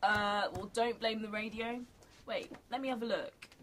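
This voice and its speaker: A woman's voice: medium pitch, fairly even intonation, moderate pace, a little hiss or hum, lightly acted. A woman playing a practical, wry survivor in an amateur radio drama, dry and matter-of-fact.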